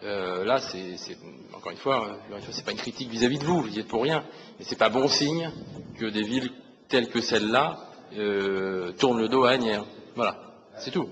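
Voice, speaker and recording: A man's voice: lowish voice, fairly quick, a bit bright. A man speaking off the cuff as an opposition councillor in debate, hesitant and repetitive, with a conciliatory manner. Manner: hesitant, repeats words, conciliatory. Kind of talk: impromptu council debate remarks. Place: large council meeting room. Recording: reverberant hall with faint noise.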